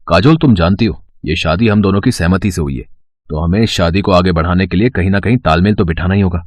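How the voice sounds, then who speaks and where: An actor playing a soothing, conciliatory young husband in a family story. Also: male voice, low-pitched, fast, dry recording.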